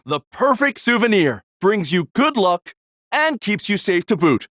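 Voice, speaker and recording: A man's voice: high voice, very animated, measured pace, fairly bright tone, no echo, studio quality, heavily acted. A man playing an eager souvenir seller with salesman patter in a video game. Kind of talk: video game NPC lines.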